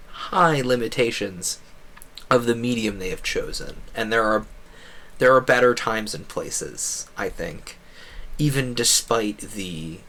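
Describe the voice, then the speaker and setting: A man's voice: medium pitch, takes it slowly, bright, forceful tone. A man giving casual gameplay commentary as a streamer, thinking aloud in a wordy, meandering way. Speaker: game streamer.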